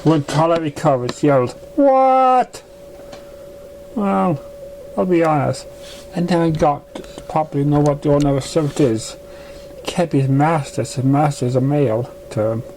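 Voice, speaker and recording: A man reading a story aloud in a halting way, stumbling over words now and then. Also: on the high side, a little mellow, a little hiss or hum, rough recording. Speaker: amateur fan fiction reader.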